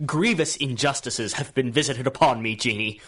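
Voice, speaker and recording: A man's voice: medium pitch, moderate pace, fairly bright tone, lightly acted. A male actor plays a grandiose supervillain from a pulp radio serial. He sits in a middle register and speaks in florid, theatrical phrases, ingratiating and full of schemes. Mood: grandiose, scheming. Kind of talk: radio drama acting in 1930s pulp serial manner.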